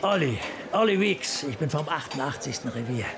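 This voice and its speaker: An actor playing a gravelly, courteous homicide cop who flirts as he questions, in a German radio play. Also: a little high, animated, moderate pace, background noise. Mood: flirty, probing.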